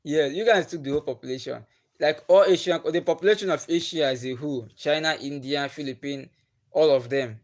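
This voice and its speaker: A man's voice: a little high, a little fast. A young Nigerian man, a native Igbo speaker, casually chatting with viewers on a livestream and asking them questions. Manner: chatty, laughs often, asks viewers questions. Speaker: livestream host. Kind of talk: casual chat with live viewers. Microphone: phone or webcam on a livestream.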